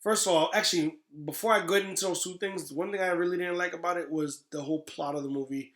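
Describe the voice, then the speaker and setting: A man co-hosting a podcast, chatting casually in an emphatic, slangy, opinionated way like an excited fan. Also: sits high, quick pace, echoey room. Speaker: podcast co-host. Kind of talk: casual chat about games and movies.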